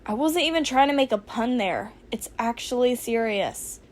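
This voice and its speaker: A woman's voice: fairly high pitch, expressive, moderate pace, lightly acted. A woman voicing an earnest, panicky male speedster hero in a fan fiction reading. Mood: flustered.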